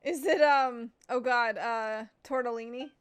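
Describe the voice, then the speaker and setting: A woman's voice: a little high, measured pace, clear, resonant tone. A young woman giving casual playthrough commentary, reacting with exclamations and chatting to herself as she plays. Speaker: gaming video creator. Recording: game music in the background.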